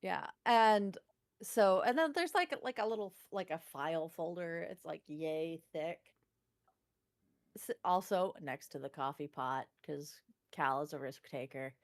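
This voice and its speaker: A woman with a slightly low voice takes part in a tabletop game. She describes scenes off the cuff in loose, informal speech full of "like". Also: expressive, unhurried. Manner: frequent "like", informal. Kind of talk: improvised casual scene description.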